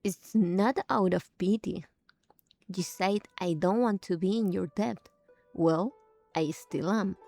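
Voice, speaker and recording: Mellow, unhurried young traveler woman. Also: medium pitch, creaky, faint music behind. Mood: earnest. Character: kind, friendly young traveler, earnest and supportive. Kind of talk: video game character acting.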